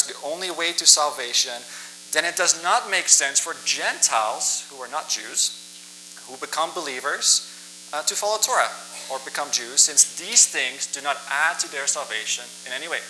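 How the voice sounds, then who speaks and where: A man's voice: fairly high pitch, pushed and bright, a little hiss or hum, low-quality recording. A young man, a native Dutch speaker from the Netherlands who moved to Canada as a child, gives a plainspoken, informal sermon as a guest preacher. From Netherlands, moved to western Canada at ten. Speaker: guest preacher, college staff member. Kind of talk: sermon with personal introduction. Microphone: church microphone.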